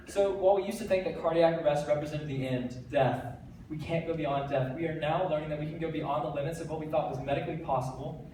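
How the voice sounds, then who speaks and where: A teenage man with an American accent, giving an earnest, energetic stage talk with light self-deprecating jokes. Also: on the high side. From United States. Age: teens. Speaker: TEDx speaker. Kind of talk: stage talk to a live audience. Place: large hall. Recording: very echoey hall, voice from a distance, faint background noise.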